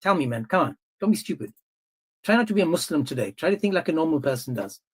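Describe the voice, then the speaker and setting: A man hosting a live-stream debate, insistent and quick to interrupt. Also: fairly high pitch, big pitch swings, slightly rough. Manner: insistent, interrupting, repeats himself. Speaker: stream host.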